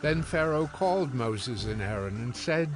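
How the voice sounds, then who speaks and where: A man's voice: mid-range voice, expressive, unhurried. A man narrating scripture from a script as part of a dramatized reading. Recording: music bed behind the voice. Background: music.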